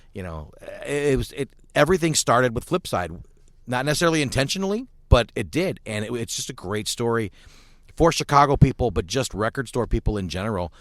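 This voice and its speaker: A podcast guest at a restaurant who talks in a rambling way and keeps restarting his thoughts. His voice sits a little low, and the sound is dry and close. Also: dry recording. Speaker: guest, book co-author. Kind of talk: casual chat.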